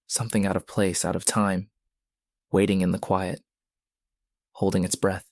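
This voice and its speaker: A man narrating a scripted suspense story in a brooding, deliberate manner, with short dramatic phrases. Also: low-pitched, little pitch movement, a little mellow, dry recording, clean studio sound.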